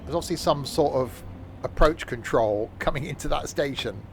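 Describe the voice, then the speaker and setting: A man's voice: on the high side, normal speed, slight background noise. A man streaming a train simulator, chatting casually in a rambling, self-mocking way. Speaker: streamer playing a train sim. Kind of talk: casual gameplay commentary.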